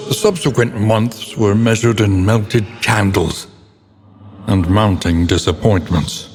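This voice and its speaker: An actor playing a grave, erudite older scholar in a horror game, narrating a dark occult past with solemn, deliberate, ornate diction. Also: male voice, lowish voice. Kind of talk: dramatic scripted gothic narration. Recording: long cavernous reverb.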